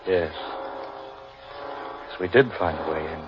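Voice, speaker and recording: An actor playing a grim, fatalistic man lost in a haunted forest, speaking ominously and deliberately in old-time radio horror style. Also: a bass voice, background noise. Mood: grim, foreboding. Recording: dull, lo-fi old radio recording.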